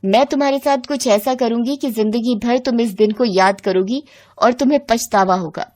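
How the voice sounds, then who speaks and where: A woman's voice: on the high side, normal speed, a little mellow, lightly acted. A woman voicing an angry, vengeful witch who scolds and threatens in a moral bedtime story. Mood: threatening.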